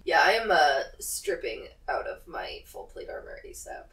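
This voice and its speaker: A woman's voice: deep voice, big pitch swings, normal speed, a bit bright. A woman playing a tabletop game and chatting casually. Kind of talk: casual chat.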